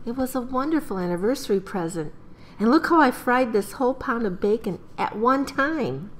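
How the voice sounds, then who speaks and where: An American woman playing a brisk, caring mother of a young family in a radio play. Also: on the high side, lively intonation, natural voice, rough recording. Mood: fond, proud. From United States.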